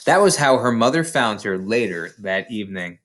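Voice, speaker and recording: A man reading a children's story aloud in an expressive, dramatic storytelling manner. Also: on the low side, moderate pace. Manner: dramatic, animated storyteller delivery. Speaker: storybook reader.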